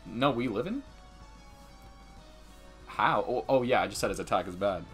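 A young man commenting casually on a game with a friend, excitable and sweary, repeating his phrases. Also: on the low side, moderate pace. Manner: profane, excitable, repeats himself. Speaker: livestream gamer. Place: indoor room, streaming webcam setup. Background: video game music.

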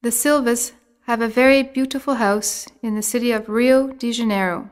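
A woman narrates a language course in a fairly high voice. She reads scripted material and vocabulary with careful, clear enunciation. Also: steady tone, moderate pace. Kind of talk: scripted lesson reading, word drills.

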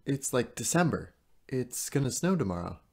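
A man playing a hesitant, puzzled fifteen-year-old boy in an audio play, casual and halting. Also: medium pitch, very animated, darkish tone, slightly rough.

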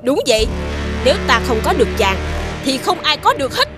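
A woman's voice: sits high, sing-song, fairly quick, music in the background. A woman voicing a proud, regal, scheming young princess in a fairy-tale cartoon. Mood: vengeful.

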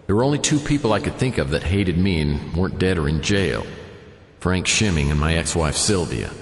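Really low wisecracking private eye, noir radio play, big echo, music under it. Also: male voice. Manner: dry, wisecracking. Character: wry, hard-boiled male private detective narrating his case. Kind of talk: noir radio drama narration.

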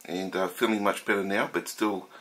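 A middle-aged Australian man with a nasal, stuffed-up voice, narrating a casual collector's video from his workshop, slow and thoughtful with many fillers. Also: low-pitched, level tone, fairly bright tone. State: recovering from a head cold. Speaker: steam engine collector. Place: home workshop room.

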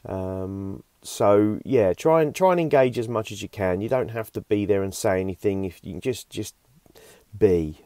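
A middle-aged man with a slightly croaky voice after a cough, giving an informal, unhurried online devotional as a youth pastor. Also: lowish voice, mellow, dry recording. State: recovering from a cough. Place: inside a camper van.

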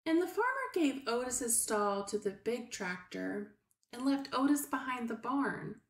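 Slow-paced woman teaching preschoolers. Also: fairly high pitch, sing-song, echoey room. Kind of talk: read-aloud discussion aimed at young children.

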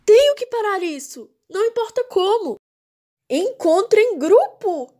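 A woman's voice: extremely high pitch, big pitch swings, measured pace, mellow, heavily acted. A woman with a Brazilian accent voicing a jealous, prim, panicky rich teenage girl in a manga fan dub. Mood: panicked, indignant. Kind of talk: dramatic fan dub dialogue.

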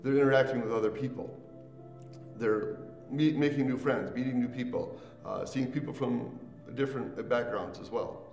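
Middle-aged man, creaky voice, unscripted testimonial, echoey room with music behind. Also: medium pitch, normal speed, a little mellow. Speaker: parent and student giving a testimonial. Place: small indoor room with a couch. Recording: roomy echo, distant mic, faint music behind.

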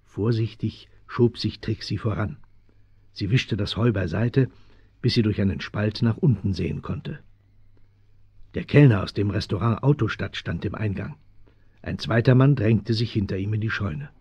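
Male narrator for a children's radio play, husky, dry close recording. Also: on the low side, normal speed, a little mellow, no echo. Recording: dry, slightly dull 1970s studio recording.

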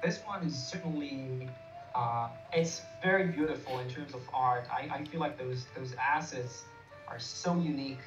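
A man hosts a casual online showcase in an informal, hesitant way. His middle-pitched voice sounds roomy and a little far from the mic, over a band-limited call with some music. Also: moderate pace, fairly bright tone, muffled recording. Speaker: host of a game jam showcase. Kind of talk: casual live presenting with questions to guests.

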